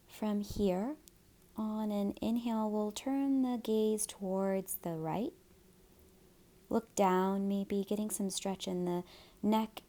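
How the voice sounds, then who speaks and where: A woman teaching gentle yoga and guided meditation in a soft, soothing, breathy way. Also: medium pitch.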